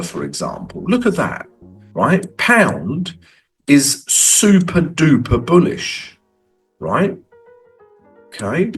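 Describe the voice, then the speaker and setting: A man with a cold walking through trading charts in a casual, conversational way, tagging lines with "right?". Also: medium pitch. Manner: conversational, frequent "right?" tags. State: has a cold. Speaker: forex trading educator.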